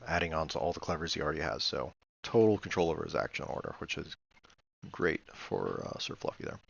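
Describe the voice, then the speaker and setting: A man with a low voice talks through a replay of a board game in a casual, matter-of-fact manner. He thinks aloud with hesitant pauses, and his voice is gravelly with plenty of fry. The audio is slightly dull and lo-fi. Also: fairly bright tone. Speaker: board game player reviewing own replay.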